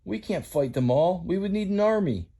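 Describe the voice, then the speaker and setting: A man's voice: high-pitched, expressive, normal speed, dark tone, husky, voice pushed up, exaggerated voice. A man voicing a worried female car in a read-aloud story.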